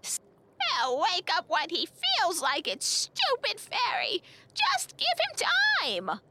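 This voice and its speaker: A woman voicing a cranky, sarcastic old witch healer in a screechy, high-pitched voice for a fantasy story. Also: huge pitch swings, slow pace, very noisy, heavily acted. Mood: screeching, scolding. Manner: mocking, nagging, insulting.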